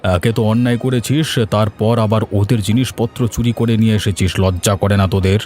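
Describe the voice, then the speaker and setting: Man acting a deep, bossy village headman. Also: fairly even intonation, normal speed, a little mellow, natural voice. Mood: stern, scolding. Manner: blunt, scolding, authoritative. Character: stern, bossy male village headman who loves food. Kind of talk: Bengali cartoon voice acting.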